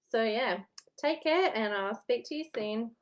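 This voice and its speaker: A woman's voice: fairly high pitch, animated, unhurried. A woman in her thirties with an Australian accent and a slightly worn voice, chatting casually from home as a historian giving study tips. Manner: chatty, rambling, laughs at herself. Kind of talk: casual chatty vlog advice.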